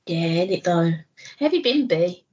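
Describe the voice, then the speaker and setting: A woman's voice: lowish voice, expressive, unhurried, a little mellow, slightly rough, dull audio. A woman co-hosting a casual podcast, nasal from a cold, chatty and giggly, swearing freely. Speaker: podcast co-host. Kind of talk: casual chat.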